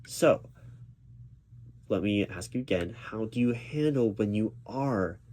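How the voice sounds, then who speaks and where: A man playing a job interviewer in a spoken ASMR roleplay, talking in a reassuring, halting way with repeated words. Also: fairly low pitch. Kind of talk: ASMR roleplay, spoken aloud rather than whispered. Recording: steady keyboard typing noise behind voice. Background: computer keyboard typing.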